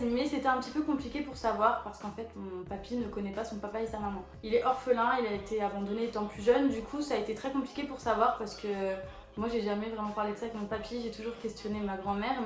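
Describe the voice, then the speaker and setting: A young woman in her twenties with a slightly high voice chats easily through viewer questions, leaning on relaxed fillers as she goes. Her voice rings around an echoey room. Faint music plays underneath. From France. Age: 20s. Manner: chatty and relaxed, with many fillers like 'du coup' and 'voilà'. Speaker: photo model answering viewer questions. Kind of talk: casual chat, reading out viewer questions.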